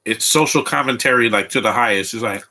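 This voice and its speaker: Bright-voiced man rambling through film commentary. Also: mid-range voice, fast. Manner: rambling, stop-start with repeated restarts. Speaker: movie commentator. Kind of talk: casual live movie commentary.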